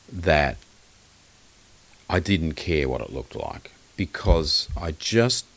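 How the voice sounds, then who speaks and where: A man talking casually as an expert guest in a podcast interview, opinionated and blunt, often rambling as he explains things. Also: very deep voice. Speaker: guest loudspeaker designer and maker.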